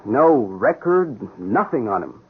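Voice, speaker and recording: A proud police sergeant under a cloud, speaking with insistent, defiant earnestness. His voice is husky and moves up and down a lot, heard through a muffled, narrow radio drama recording. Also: mid-range voice, big pitch swings, slow pace, full character voice. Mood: troubled, defensive. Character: proud, embattled police sergeant accused of killing a suspect.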